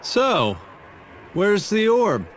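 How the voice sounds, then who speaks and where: A man acting a brash, impatient young video game adventurer with a sarcastic, informal and excitable way of talking. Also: sits high, extremely slow, a little hiss or hum, full character voice. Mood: wry, impatient. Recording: faint music behind the voice.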